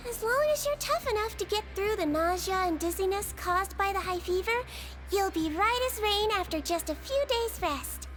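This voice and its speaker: Gentle non-human game nurse, very high and sweet, teasingly kind. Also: female voice, lively intonation, normal speed, over music. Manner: soft, motherly, gently teasing. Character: small gentle female melusine nurse, kindly and teasing. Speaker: prison nurse.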